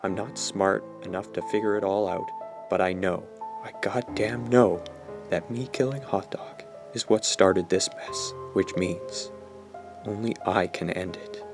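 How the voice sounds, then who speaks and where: A man playing a sardonic, guilt-ridden teenage boy in a radio drama, brooding and halting as he confesses. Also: deep voice, music in the background. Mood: resigned, remorseful. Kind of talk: radio drama acting with first-person narration.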